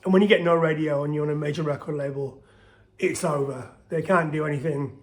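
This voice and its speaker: A middle-aged man talks casually in a soft, slightly high voice. He rambles warmly through a long story, restarting sentences and leaning on fillers as he goes. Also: steady tone, a little fast. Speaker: band singer telling its history. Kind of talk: casual spoken storytelling to camera.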